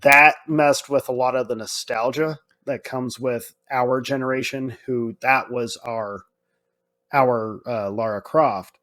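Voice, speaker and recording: A man's voice: mid-range voice, fairly even intonation, slow pace, fairly bright tone. A man co-hosting a podcast, chatting casually about films in an informal, emphatic way that repeats points for stress. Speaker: podcast co-host. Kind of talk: casual film discussion.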